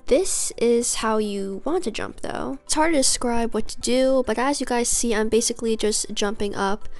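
A teenage girl with a fairly high voice and a gravelly edge talks casually to her webcam, explaining a beginner workout. She is getting over a cold and keeps saying "you guys". Soft music plays faintly underneath. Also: moderate pace. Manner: chatty, says "you guys" often, light laughs. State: recovering from a cold. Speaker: figure skating vlogger. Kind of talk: casual tutorial talk to camera. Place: carpeted basement room at home.

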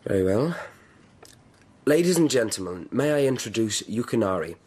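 Stately, husky Emperor. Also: male voice, fairly low pitch, sing-song, normal speed, darkish tone. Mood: stately, commanding. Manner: stately, authoritative. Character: formal, commanding Emperor of Japan. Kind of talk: radio drama acting.

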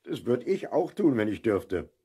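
A man's voice: mid-range voice, sing-song, unhurried, mellow, breathy edge. An actor playing a kindly, avuncular policeman on night patrol in a 1970s German children's radio play. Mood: surprised, kindly.

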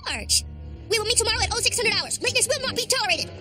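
A bossy, ambitious preteen cartoon girl, her very high voice brisk and commanding as she takes charge. She sounds precise and driven. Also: sing-song, over loud music.

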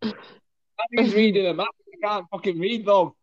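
Man on a phone call, high lively voice, slow teasing banter, sweary, muffled call audio. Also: a crowd in the background. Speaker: livestream guest. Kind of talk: casual banter. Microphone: video call on phone.

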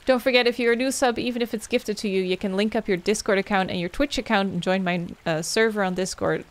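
A woman's voice: medium pitch, steady tone, quick pace. A young adult woman, a game streamer wrapping up with casual, rambling chat as she thanks viewers by name and answers their questions. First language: Dutch.

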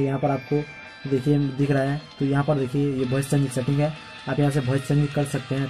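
Male tech tutorial host, soft-toned, casual and instructional, repeats himself, faint guitar music underneath. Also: medium pitch, low-quality recording. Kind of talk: casual phone tutorial narration.

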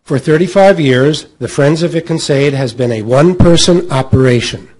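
A middle-aged American man with a husky voice, reading a scripted introduction as a panel moderator. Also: on the high side, normal speed, a little mellow, rough recording. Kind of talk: scripted introduction read aloud. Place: hotel meeting room at a convention. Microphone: table microphone on a stand.

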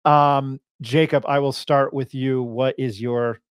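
A man's voice: mid-range voice, steady tone, takes it slowly, clean tone, no echo, clean studio sound. Irreverent middle-aged male podcast host. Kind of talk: casual sports podcast banter. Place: home studio, indoors. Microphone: close podcast mic on boom arm.

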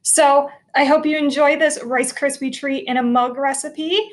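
A woman's voice: high voice, fairly even intonation, normal speed. A woman in her thirties, a teacher, giving a cheerful step-by-step cooking demo for children from her home kitchen, picked up by a laptop camera across the counter. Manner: cheerful, clear, instructive. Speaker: teacher hosting a kids' cooking video.

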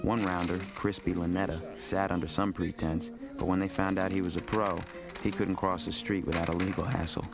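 An actor playing a young law student and former card player, narrating a film in a knowing, understated, street-wise way. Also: male voice, deep voice, little pitch movement, a little fast. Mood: wry, confiding. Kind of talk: scripted voice-over narration. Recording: music under the voice.

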